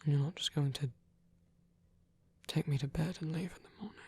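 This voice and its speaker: A man playing a gentle, tender old vampire priest in an intimate ASMR roleplay, speaking slowly and hesitantly in a soft, breathy whisper. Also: a little high, fairly even intonation. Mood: earnest, vulnerable. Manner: slow, hesitant, reassuring, softly formal phrasing.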